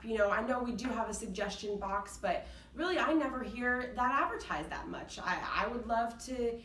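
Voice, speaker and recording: A woman giving an earnest, enthusiastic unscripted pitch about workplace values, with a loud voice. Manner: enthusiastic, earnest, says she has a loud voice. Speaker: employee applying to a company culture club. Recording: roomy, slightly distant mic with faint background noise.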